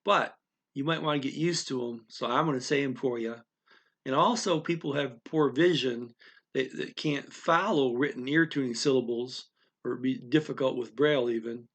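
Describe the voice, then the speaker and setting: A man's voice: medium pitch, normal speed, a bit bright. A man giving a casual, rambling language lesson, speaking straight to the learner and checking in with frequent okays. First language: English. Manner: rambling, restarts often, speaks directly to the learner with frequent "okay?". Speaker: language teacher.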